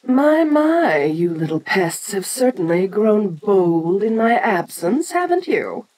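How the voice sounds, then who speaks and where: An ancient kaiju giantess played by a woman speaks straight to the listener in an audio roleplay. She is imperious and condescending, taking her time over each phrase while her pitch swoops with lively, theatrical expression. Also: takes it slowly. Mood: haughty. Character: ancient giant kaiju queen, haughty, domineering female monster.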